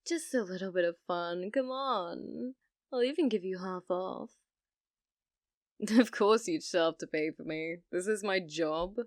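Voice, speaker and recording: A woman's voice: fairly low pitch, no echo, clean studio sound. A woman playing a flirty, possessive yandere rental date in an ASMR roleplay, teasing and coy, coaxing the listener. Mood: playful, coaxing. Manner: teasing, coy, slow and deliberate, drops to whispers.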